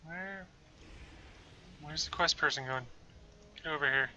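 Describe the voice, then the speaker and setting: A man's voice: fairly high pitch, unhurried, sharp tone, a little hiss or hum. A young man streaming a game at home, chatting casually with viewers as he plays and rambling about what he is doing. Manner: chatty, rambling, talks to chat while playing. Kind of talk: casual gameplay livestream chat. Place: home room. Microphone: desk microphone.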